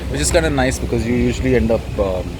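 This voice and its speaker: A man's voice: mid-range voice, quick pace, noisy background. A man giving casual travel vlog narration as a motorcycle vlogger.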